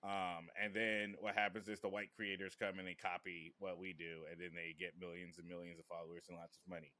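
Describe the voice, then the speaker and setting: A man's voice: sits low, flat delivery, a little fast, bright, forceful tone. A man hosting a podcast, chatting casually and informally with some swearing. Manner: informal, profane. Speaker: podcast host.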